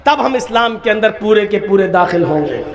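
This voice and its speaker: A male preacher giving an impassioned sermon, often raising his voice to a shout and slowing down to recite Arabic prayers. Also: high voice, expressive. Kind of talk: Islamic sermon.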